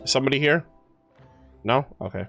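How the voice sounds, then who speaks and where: A man giving casual livestream commentary, rambling and halting as he thinks aloud. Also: medium pitch, animated, fairly bright tone. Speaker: streamer. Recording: music playing behind voice. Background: music.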